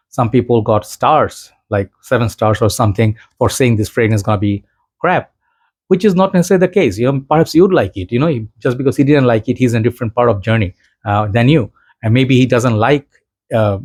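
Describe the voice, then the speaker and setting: A man's voice: medium pitch, a little mellow. A man from Bangladesh with a Bangladeshi accent, talking as a fragrance reviewer in an informal, rambling vlog chat addressed to his viewers.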